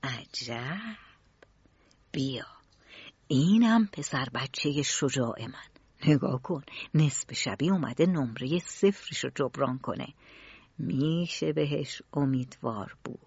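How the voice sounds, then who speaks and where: A woman voicing a grown man, a proud father, murmuring softly. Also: lively intonation, breathy edge, no room sound, full character voice. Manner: whispering, low and soft. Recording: dull lo-fi dry recording.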